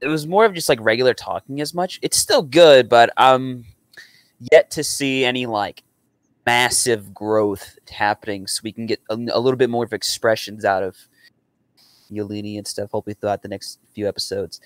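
A man's voice: mid-range voice, moderate pace, dry recording. A young man chatting casually and earnestly in a rambling reaction at home. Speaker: reactor and amateur voice actor. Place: small room at home.

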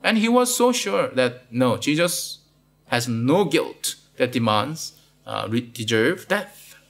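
A man's voice: mid-range voice, very animated, slow pace, gravelly. A middle-aged man with a Malaysian accent preaching a sermon in a studio, asking "right?" after nearly every point and repeating his words. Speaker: pastor. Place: studio with plain blue backdrop.

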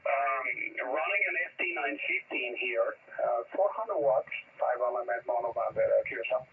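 A man's voice: medium pitch, lively intonation, normal speed, gravelly. A man chatting casually as a ham radio operator, heard over a radio transmission. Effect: shortwave radio transmission. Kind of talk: casual ham radio chat with call signs and phonetic spelling. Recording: thin phone-like radio signal, distant.

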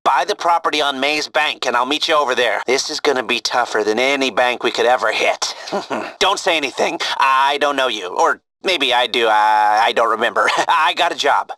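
A man's voice: medium pitch, normal speed, pushed and bright, no room sound, close to an everyday voice. A man playing a nervous, chatty heist organiser in a video game, rambling eagerly and hesitantly through mission briefings. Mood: nervous, conspiratorial.

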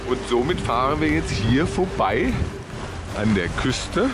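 Slow-talking man over loud outdoor noise. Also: mid-range voice, very animated. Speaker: sailing vlogger. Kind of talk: travel vlog narration. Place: outdoors, on a sailboat and hiking trails. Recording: noisy outdoor recording with boat ambience.

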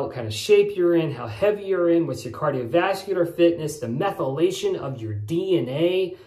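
A man in his forties hosting a casual shaving vlog, talking in a chatty, rambling way while lathering and shaving in a small home bathroom. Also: a little high. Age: 40s. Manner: chatty, rambling, folksy explanations. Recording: echoey bathroom.

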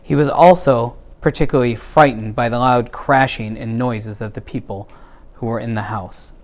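A middle-aged man reads a children's story aloud in a measured, expressive way. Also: medium pitch. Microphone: lapel mic.

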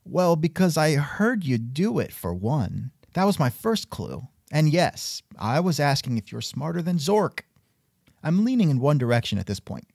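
A man's voice: fairly high pitch, very animated, moderate pace, vocal fry, darkish tone, slightly rough, no echo, clean studio sound. A man hosting a scripted comedic interview show, sardonic and increasingly exasperated. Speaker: podcast host.

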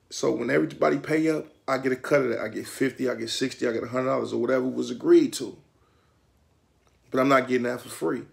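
A middle-aged man with a Detroit accent talks straight into a camera in a small room at home. His mid-pitched voice carries a heavy gravelly rasp. He explains the rules in a streetwise, instructive way, gesturing often with his hands. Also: a little mellow. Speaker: vlogger. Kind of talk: casual talk to camera.

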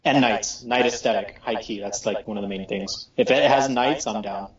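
Young man in his twenties, relaxed slangy group talk; roomy echo, distant dull mic. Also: fairly low pitch, moderate pace. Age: 20s. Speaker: co-host. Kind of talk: casual group chat. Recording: echoey room, distant mic, dull sound.

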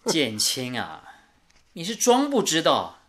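A fussing, earnest young married man in a radio drama. His voice is forceful and fairly high with animated swings, and he scolds kindly. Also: slow pace, full character voice. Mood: earnest, coaxing. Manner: earnest, gently chiding. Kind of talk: Mandarin radio drama acting.

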